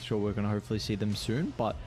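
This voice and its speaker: A man in his twenties casts an esports match with a low voice. He sounds playful and slangy and banters easily with his co-caster. Faint music plays in the background. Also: racing, a little mellow. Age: 20s. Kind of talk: casual esports commentary.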